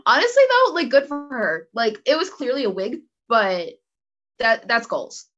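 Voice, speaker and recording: A teenage girl guest on a podcast, chatting in a relaxed, easygoing way. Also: on the high side, normal speed, lo-fi sound. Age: teens. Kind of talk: casual chat.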